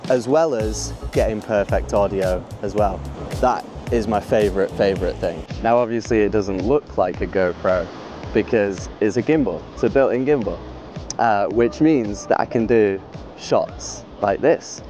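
A man's voice: lowish voice, a little slow, warm tone, soft background music. A young man vlogging outdoors on a rocky beach with a clip-on mic, eager and rambling, laughing at himself. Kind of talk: excited casual vlog. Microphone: small clip-on mic.

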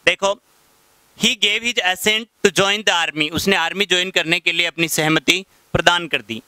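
A male teacher in his thirties with a Rajasthani accent, whose high, crisp voice is picked up dry and close by a headset mic. He explains vocabulary patiently at the whiteboard and slows down whenever he reads out English example sentences. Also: steady tone, sharp tone, no echo, low-quality recording. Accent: Rajasthani Hindi. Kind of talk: classroom lecture explaining English vocabulary in Hindi. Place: classroom in front of a whiteboard.

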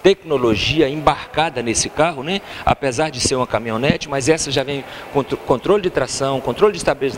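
A man's voice: mid-range voice, fairly quick, hardly any pauses, a little hiss or hum. A middle-aged Brazilian man giving an informal promotional sales talk as a car dealership salesman. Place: large car showroom.

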